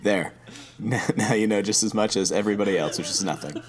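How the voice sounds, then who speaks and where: A man's voice: lowish voice, fairly quick, crowd noise. A man co-hosting a podcast in casual chat, teasing his partner and laughing a lot. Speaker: podcast co-host.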